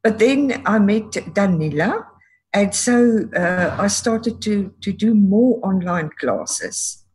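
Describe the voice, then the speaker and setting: Woman from South Africa, Afrikaans teacher, very husky voice, slow steady talk. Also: mid-range voice, poor audio.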